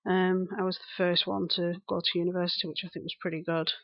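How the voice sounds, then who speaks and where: A woman's voice: fairly low pitch, level tone, quick pace, dry recording, highs cut off. A woman in her thirties with a broad Lancashire accent, chatting casually on a live stream, greeting viewers and repeating herself as she rambles. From England. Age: 30s.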